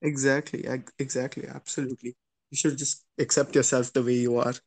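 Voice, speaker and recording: A man's voice: medium pitch, flat delivery, a little fast, a little mellow. A young man hosting a podcast, asking rambling questions and reacting sympathetically. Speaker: podcast host. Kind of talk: casual interview chat.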